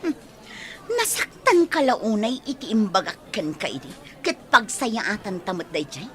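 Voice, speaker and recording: A woman's voice: medium pitch, big pitch swings, unhurried, a bit bright. A woman with a Filipino accent acting a hurt, nagging, thrifty girlfriend in an emotional, scolding radio drama style. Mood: accusing. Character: Bianca, hurt, nagging, thrifty girlfriend confronting her boyfriend.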